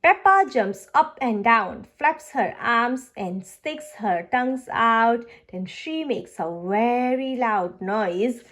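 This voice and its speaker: A woman's voice: fairly high pitch, animated, slow pace, hardly any pauses, a bit bright. A woman reading a picture book aloud for young children as a storyteller and host.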